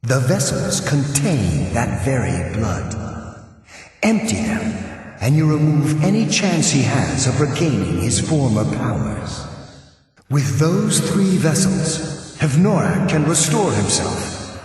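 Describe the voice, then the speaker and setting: Menacing ghost in cavernous reverb. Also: male voice, medium pitch, sing-song, darkish tone, soft background music. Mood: grave, solemn. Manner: theatrical, slow and ominous. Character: menacing male undead ghost, haughty and solemn. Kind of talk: video game character dialogue. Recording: cavernous long reverb, distant.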